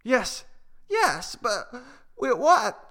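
A man's voice: very high voice, huge pitch swings, very slow, fairly bright tone, voice pushed up, heavily acted. A man doing a timid stammering young ostrich girl. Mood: flustered. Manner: stammering, shaky and pleading. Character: timid young female ostrich, frightened and tearful. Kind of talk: storybook reading.

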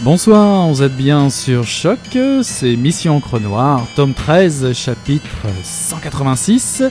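A man hosting a radio book show, introducing his guest in a friendly, brisk way. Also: mid-range voice, lively intonation, darkish tone, faint music behind. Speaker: radio host. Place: radio studio.

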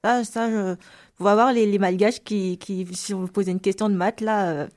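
A woman's voice: medium pitch, fairly even intonation, normal speed, darkish tone. A woman from Madagascar with a light Malagasy accent in her French, answering interview questions casually and hesitantly, with many fillers and repeated words. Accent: slight Malagasy French. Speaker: guest.

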